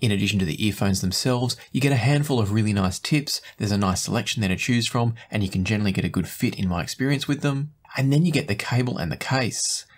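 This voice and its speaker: A man in his forties with a fairly low voice hosts a product review. He explains things in a measured way, speaking to camera from a small indoor studio. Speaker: host, audio gear reviewer.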